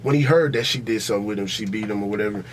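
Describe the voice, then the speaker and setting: A man's voice: on the low side, racing, slight background noise. An American man hosting a podcast in a casual, opinionated debate, blunt and profane, repeating himself for emphasis. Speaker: podcast host.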